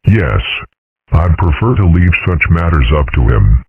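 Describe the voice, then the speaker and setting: A man voicing a dignified, stern father in a fan comic dub, speaking formally with a deep, husky voice. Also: sing-song, full character voice. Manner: measured, formal reproach. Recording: phone-like thin sound.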